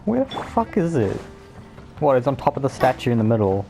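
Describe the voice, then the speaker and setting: A woman's voice: rumbling low, very animated, brisk, warm tone, faint music behind. A woman chatting casually and off the cuff while playing a video game. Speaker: gamer commenting while playing. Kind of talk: casual off-the-cuff gameplay chat. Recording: noisy microphone over game sound.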